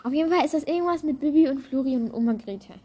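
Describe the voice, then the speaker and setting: High-voiced woman chatting casually. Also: fast talker, dark tone. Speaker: podcast host. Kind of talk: casual chat, guessing game. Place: outdoors. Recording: slightly noisy amateur recording.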